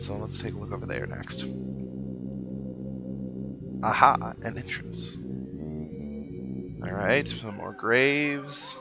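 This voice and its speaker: Gravelly low-voiced man, casual game commentary. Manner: rambling, wry, musing aloud. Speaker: host of a video game playthrough series. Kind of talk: casual let's play commentary. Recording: dull lo-fi mic under loud game music. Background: video game music.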